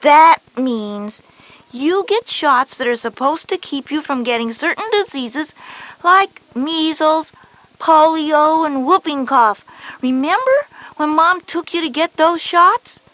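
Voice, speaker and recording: Woman as a high-pitched, knowing big sister. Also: expressive, slow pace, fairly bright tone, dry recording, heavily acted, poor audio. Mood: patient, reassuring. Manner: patient, explanatory. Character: knowing, reassuring older sister, a schoolgirl. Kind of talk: scripted educational audio drama.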